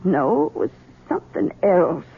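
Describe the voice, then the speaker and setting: A woman's voice: lowish voice, big pitch swings, measured pace, gravelly, breathy edge, phone-quality sound, full character voice. An actress playing a bitter, sardonic old mother-in-law, haughty and scheming, with dry, pointed delivery in a vintage radio mystery drama. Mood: brooding, sly.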